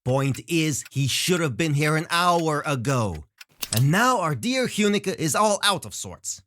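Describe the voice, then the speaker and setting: A man's voice: a little high, sing-song, measured pace, a bit bright. A man playing a fussy, formal witch in an audio drama, with faint noise in the recording. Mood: impatient. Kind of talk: audio drama character acting.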